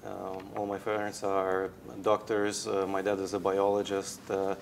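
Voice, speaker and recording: A man's voice: deep voice, monotone, moderate pace, creaky, background noise. A man in his thirties from Russia with a Russian accent, answering interview questions hesitantly with many fillers. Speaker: guest, tech founder. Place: indoor studio set. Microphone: lapel.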